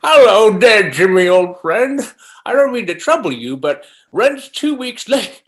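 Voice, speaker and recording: Genial old male super, acted by a man, high and animated, mild-mannered, table-read comedy. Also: measured pace. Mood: genial, hesitant. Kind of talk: screenplay table read acting.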